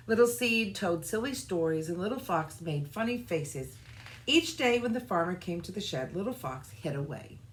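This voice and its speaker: Slow, low-pitched woman reading a storybook. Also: a little hiss or hum. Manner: expressive, deliberate phrasing. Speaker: children's music director reading a storybook. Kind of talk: scripted children's storybook narration.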